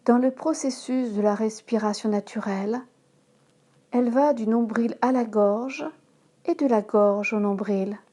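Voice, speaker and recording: Very slow, soft-toned woman guiding meditation. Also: a little high. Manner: calm, measured, instructing in short phrases. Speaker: yoga nidra instructor. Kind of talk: slow guided relaxation meditation.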